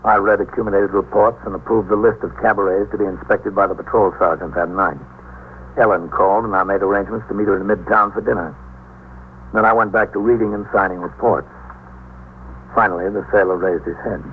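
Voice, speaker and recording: A low-pitched American actor playing a police captain comes through a narrow, tinny old radio broadcast. He is steady and businesslike, reporting events in measured, matter-of-fact radio drama style. A clear layer of noise sits on the recording. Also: natural voice. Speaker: narrator and lead actor. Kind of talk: 1950s radio police drama acting and narration. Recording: muffled, noisy 1950s radio broadcast.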